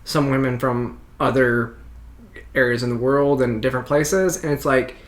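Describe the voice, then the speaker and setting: A woman with a fairly deep voice, hosting a casual reaction chat, talking freely with anecdotes and laughing often. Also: steady tone, moderate pace. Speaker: host.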